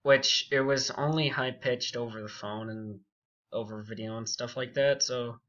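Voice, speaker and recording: A young man telling a story casually and unscripted, rambling and halting, with frequent asides. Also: mid-range voice, steady tone, bright, forceful tone. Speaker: YouTube storyteller. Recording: dull, muffled lo-fi audio.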